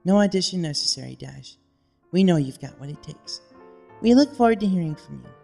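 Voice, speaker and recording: A woman's voice: lowish voice, expressive, fairly quick, vocal fry, mellow, faint music behind. A woman playing a confident Pegasus flight captain, formally reading out a congratulatory letter in a cartoon fan story. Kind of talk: scripted character reading.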